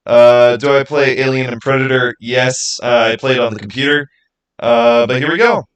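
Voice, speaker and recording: A man's voice: mid-range voice, steady tone, moderate pace. A man hosting a livestream, chatting informally with viewers and thinking aloud as he goes. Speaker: livestream host. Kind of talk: casual livestream chat with viewers.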